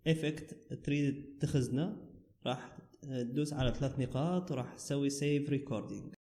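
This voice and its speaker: A man's voice: mid-range voice, mellow. A man with an Iraqi Arabic accent narrating a casual app tutorial as its host. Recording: roomy, echoing voice recording.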